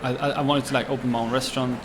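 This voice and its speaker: A young man with a Swedish accent talks casually, pausing often and restarting his sentences. His voice sits at a middling pitch. Steady background noise runs under the recording. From Sweden. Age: 20s. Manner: halting, many fillers and restarts. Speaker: chef and restaurant owner. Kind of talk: casual interview.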